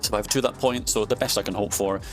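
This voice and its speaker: A man with a lowish voice talks through a strategy game as he plays, thinking aloud with plenty of ums and half-finished restarts. He breaks into sudden surprised outbursts when something catches his eye. A faint music bed plays under his voice. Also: fairly even intonation, fast. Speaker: gaming commentator. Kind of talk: casual strategy game commentary.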